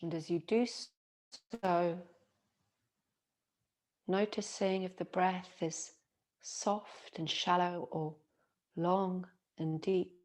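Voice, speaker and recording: A woman yoga teacher guiding a relaxation meditation in a soothing, gentle manner with long pauses. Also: fairly low pitch, fairly even intonation.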